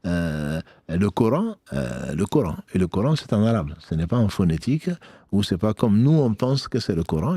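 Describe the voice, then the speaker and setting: A man's voice: sits low, warm tone, breathy edge, no echo. A man giving religious advice on a live call-in show, speaking familiarly to listeners and repeating key phrases. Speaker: imam answering listeners' questions. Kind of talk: live radio question-and-answer on religious practice.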